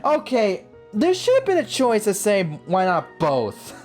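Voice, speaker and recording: A man's voice: high-pitched, wildly swooping pitch, unhurried, darkish tone. A young man giving casual let's play commentary as a gaming host, slangy and often saying bro. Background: game music.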